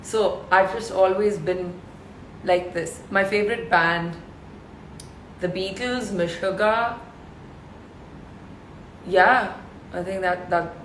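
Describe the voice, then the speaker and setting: A woman in her thirties with a deep voice, chatting casually and reading fan questions aloud on a live stream from her home. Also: fairly bright tone, reverberant, a little hiss or hum, low-quality recording. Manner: chatty, reads comments aloud and answers them. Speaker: live stream host answering fans. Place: her house with bare concrete walls. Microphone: phone livestream.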